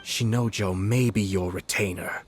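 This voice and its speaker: A man playing a refined, shrewd noble commissioner in a video game, formal and measured. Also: fairly low pitch, steady tone, slightly rough, soft background music. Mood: firm, formal. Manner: formal, measured, precise wording.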